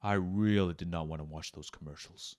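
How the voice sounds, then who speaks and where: A man's voice: low voice, fairly quick, heavy background noise. A man hosting a comedic scripted video essay, melodramatic and mock-serious, with sudden emphatic bursts. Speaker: YouTube video essayist and host. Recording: clear music under the voice.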